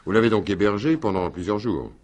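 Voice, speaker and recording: An actor playing a wry, probing police commissioner in a French radio crime drama, theatrical and insistent, repeating words for effect. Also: male voice, on the low side, brisk, a little mellow, close to an everyday voice.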